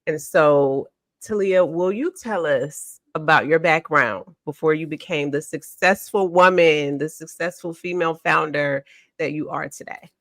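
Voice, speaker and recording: A woman hosting a podcast, reading a formal introduction and then chatting warmly and affirmingly with her guest. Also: deep voice, unhurried, no echo, studio quality. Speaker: podcast host. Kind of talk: interview hosting.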